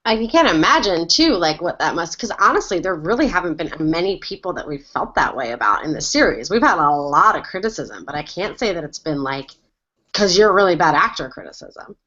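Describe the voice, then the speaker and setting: A woman's voice: lowish voice, big pitch swings, brisk, bright, forceful tone. A woman hosting a casual fan podcast over a video call, leading the talk and drawing in guests. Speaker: podcast host. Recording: dull lo-fi video call audio.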